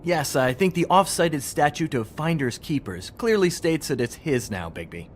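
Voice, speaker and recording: An actor playing a glib, smug pawnshop clerk in a video game, flippant and sarcastic. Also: male voice, fairly high pitch, lively intonation, normal speed, a little hiss or hum, close to an everyday voice. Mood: flippant, dismissive.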